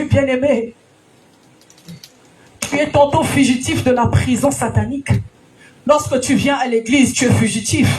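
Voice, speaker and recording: High-voiced woman preaching with shouted peaks. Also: rough recording. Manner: emphatic sing-song preaching with shouted peaks; frequent alleluias and direct questions to listeners. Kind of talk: sermon. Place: church gathering.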